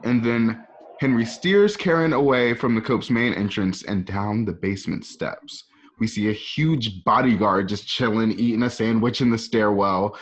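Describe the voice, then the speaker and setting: A man's voice: lowish voice, normal speed. A man narrating scene directions informally, with casual conversational asides. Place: at home.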